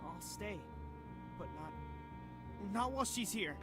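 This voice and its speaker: Man acting a high-voiced, panicky, paranoid man. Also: lively intonation, slow pace. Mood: desperate fear. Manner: stammering, pleading. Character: frightened, paranoid man insisting on his innocence. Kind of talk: visual novel voice acting. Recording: music behind.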